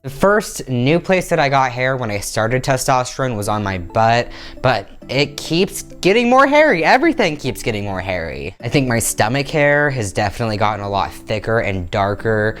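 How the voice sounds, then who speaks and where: A man's voice: mid-range voice, moderate pace, faint music behind. A young man in his twenties talking candidly to camera in a casual vlog, recorded on a lapel mic in a small bedroom. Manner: upbeat and candid, animated hand gestures. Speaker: vlogger. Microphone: clip-on lapel mic.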